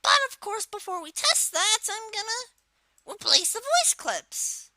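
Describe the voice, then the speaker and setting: A woman voicing a cheeky cartoon villain kid, giving a software tutorial in a casual, halting way with filler words. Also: very high-pitched, sing-song, dry recording, studio quality, heavily acted. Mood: casual, explaining. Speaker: tutorial presenter.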